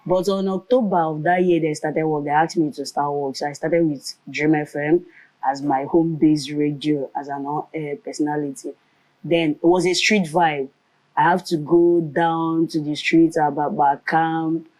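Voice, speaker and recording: A woman's voice: sits low, steady tone, moderate pace, a little mellow, rough recording. A woman in her thirties with a Nigerian Igbo accent, telling her story in a conversational interview. Her first language is Igbo, and she mixes Pidgin and Igbo into her English. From Nigeria, Nkanu land. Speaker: comedian and radio presenter, interview guest.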